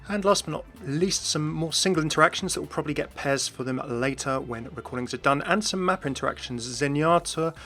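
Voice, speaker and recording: Fast-talking bright-voiced man hosting. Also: on the high side, faint music behind. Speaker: video host. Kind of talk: enthusiastic scripted game commentary.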